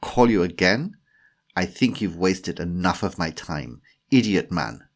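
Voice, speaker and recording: A glamorous Russian woman from an audiobook, played by a man. She is haughty and quick to anger. Her accented speech is demanding and indignant, with lively swings in pitch. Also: vocal fry. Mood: scornful.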